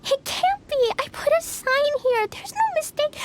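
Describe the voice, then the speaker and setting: A woman voicing an excitable, childlike little girl adventurer in a video game, bubbly and full of exclamations. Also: very high voice, normal speed, background noise. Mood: excited, urgent. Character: excitable little girl adventurer who loves explosions and treasure.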